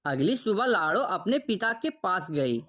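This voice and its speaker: A woman narrating a children's cartoon story in an even, scripted storytelling tone. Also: mid-range voice, measured pace.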